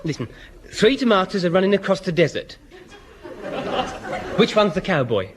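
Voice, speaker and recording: An actor playing a cheeky nephew who pesters insistently with silly jokes, in a vintage radio sitcom. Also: male voice, high-pitched, big pitch swings, moderate pace. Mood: eager. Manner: insistent, eager. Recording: noisy old radio recording, audience behind.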